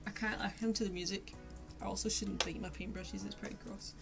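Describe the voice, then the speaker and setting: A woman's voice: medium pitch, steady tone, fairly quick, vocal fry. Chatty Glaswegian woman streaming while painting. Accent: posh Glaswegian Scottish. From Scotland. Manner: chatty, self-mocking, rambling asides to chat. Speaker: art streamer. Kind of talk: casual live-stream chat while painting. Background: faint lo-fi music.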